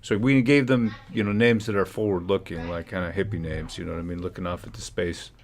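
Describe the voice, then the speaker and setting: A man's voice: low-pitched, fairly even intonation, brisk. A man hosting a livestream, rambling sarcastically and talking over clips. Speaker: livestream host. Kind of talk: casual livestream commentary.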